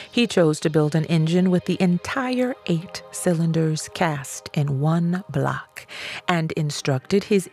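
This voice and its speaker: A woman reading a self-help audiobook in a measured, emphatic storytelling manner. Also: lowish voice, darkish tone. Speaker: audiobook reader. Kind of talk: scripted narration of a self-help book.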